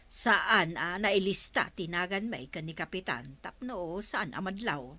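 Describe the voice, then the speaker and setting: A woman's voice: on the low side, animated, measured pace, bright, forceful tone, no room sound, exaggerated voice. A woman playing an evasive villager defending her captain in an Ilocano comedy radio drama. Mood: questioning.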